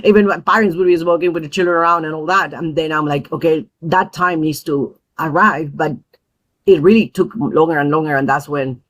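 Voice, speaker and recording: A woman who grew up in Peru, a mother and parent advocate, speaking as a podcast guest in an earnest, thoughtful way with frequent pauses and fillers. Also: low voice, steady tone. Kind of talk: podcast interview.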